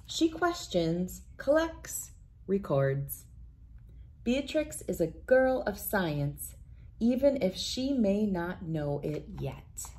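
A woman in her thirties reading a children's picture book aloud in a deliberate, expressive storytelling manner. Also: lowish voice. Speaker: read-aloud host. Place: indoors, wood-panelled room with large windows.

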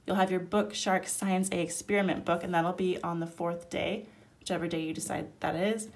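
A woman with a cold who sounds nasal, walking through a homeschool curriculum in a relaxed, chatty way at a table. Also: on the low side, normal speed. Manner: relaxed, chatty, explaining step by step. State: sick, nasal. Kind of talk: casual product flip-through. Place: indoors at a table, hands-only overhead camera.

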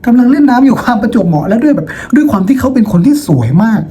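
Mellow-voiced male teacher, chatty and playful. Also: extremely high pitch, big pitch swings, racing. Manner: chatty and playful, with rhetorical questions. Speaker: teacher and host of a lesson video. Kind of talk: informal storytelling lecture to students. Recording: noticeable background noise.

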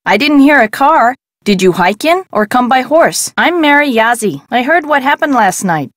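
A woman's voice: high voice, sing-song, normal speed, fairly bright tone, no echo, studio quality. An actress playing a friendly middle-aged woman who runs a desert craft shop in a video game, talking in a folksy, conversational way. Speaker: shop owner character in an adventure game. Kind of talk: scripted video game dialogue.

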